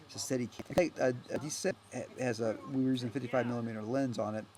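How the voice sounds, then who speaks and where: A man giving a casual, unscripted director's commentary as a filmmaker, rambling and halting as he goes, often trailing off. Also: medium pitch, fairly even intonation, darkish tone. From United States.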